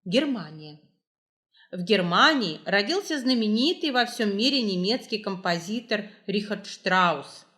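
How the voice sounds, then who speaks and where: A woman reading scripted educational travel narration with measured, clear phrasing. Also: mid-range voice, expressive, a bit bright. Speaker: narrator, library staff.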